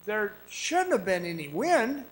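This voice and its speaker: Guy acting as a folksy haunted miner telling his story, slow and halting, kinda high voice, radio play. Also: sing-song, rough recording. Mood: haunted, brooding. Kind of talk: radio drama monologue.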